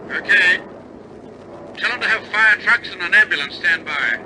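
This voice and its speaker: High-pitched, commanding bomber captain. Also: male voice, lively intonation, moderate pace, full character voice, low-quality recording. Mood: tense, commanding. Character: resolute male bomber pilot captain, grimly steady under danger. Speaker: actor. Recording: loud aircraft engine drone. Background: aircraft engine noise.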